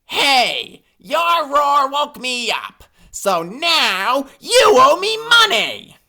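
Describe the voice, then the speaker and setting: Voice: sits high, wildly swooping pitch, very slow, bright, forceful tone, pitched above the performer's own voice, full character voice. Narrator doing a greedy pushy honey badger. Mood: demanding.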